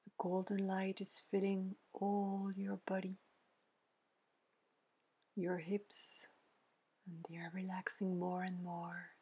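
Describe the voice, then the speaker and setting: A woman leading a guided meditation in a soft, breathy, near-whispering voice, gently soothing, with pauses between short phrases. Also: mid-range voice, monotone. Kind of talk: guided meditation, scripted narration.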